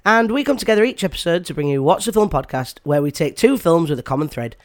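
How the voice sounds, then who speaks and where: A man's voice: a little high, lively intonation, fast. A man hosting a podcast in casual chat, stuffy from a cold, with frequent ums and restarts. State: has a cold. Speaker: podcast host.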